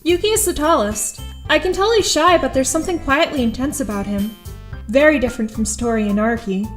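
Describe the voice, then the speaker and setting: A woman's voice: sits high, a little fast, soft background music, close to an everyday voice. A woman playing an awkward, earnest teenage schoolgirl who narrates her thoughts in an audio drama, a little hesitant. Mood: curious, reflective.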